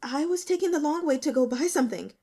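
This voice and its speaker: A woman voicing a nervous, evasive young female student in an audiobook, hesitant and halting. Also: sits high, expressive, dark tone, voice pushed up. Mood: nervous, flustered. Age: young adult. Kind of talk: audiobook character dialogue.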